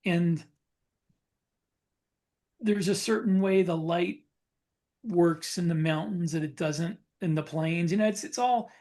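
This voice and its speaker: A man chatting casually as a podcast co-host, thinking aloud in a halting way with repeated phrases. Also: sits high, darkish tone. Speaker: podcast co-host and science fiction author.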